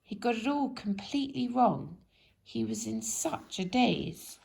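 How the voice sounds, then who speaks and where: A woman reading a children's bedtime story expressively, in a gentle, drawn-out way that drops into hushed tones. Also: fairly high pitch, animated, vocal fry. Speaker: storybook reader.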